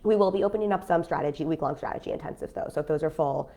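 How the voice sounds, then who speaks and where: A business coach with a cold, talking casually on a live stream in a nasal, stuffy voice with lots of fillers. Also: female voice, sits low, racing, mellow. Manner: chatty, frank, many fillers. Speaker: business coach and podcast host.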